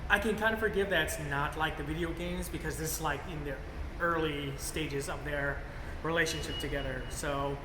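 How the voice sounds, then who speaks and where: Higher-pitched man in an echoey, noisy room. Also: fairly even intonation, moderate pace. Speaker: movie review co-host. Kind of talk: casual unscripted film review chat. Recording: echoey reverberant room, clear background noise.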